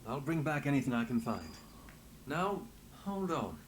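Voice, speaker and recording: An actor playing a confident, dry-witted investigator in a fantasy video game, with a commanding, wry manner. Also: male voice, mid-range voice, sing-song, normal speed, slight background noise, lightly acted. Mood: brisk, commanding. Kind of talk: dramatic video game dialogue.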